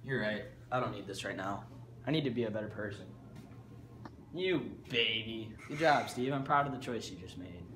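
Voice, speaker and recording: A young man playing a self-absorbed high school student in an amateur comedy skit, talking in a casual, deadpan way. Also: normal speed. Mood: coaxing. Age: young adult. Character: self-absorbed high school student torn between bickering inner voices. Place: school stairwell, hallway and gym. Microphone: camera mic at a distance. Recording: echoey school halls, distant mic, loud room noise.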